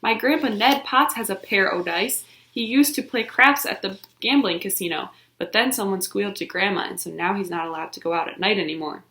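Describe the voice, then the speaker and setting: A woman's voice: mid-range voice, sharp tone. A woman voicing an eager little boy who blurts out his story. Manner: eager, rushed. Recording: roomy echo.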